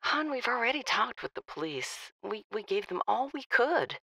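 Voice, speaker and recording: A woman's voice: fairly high pitch, big pitch swings, moderate pace, gravelly, bright, forceful tone, no room sound, full character voice. An actress playing a weary, worried middle-aged mother of a missing grown son, hesitant and sighing in an audio drama. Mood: weary, guarded. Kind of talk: audio drama phone conversation.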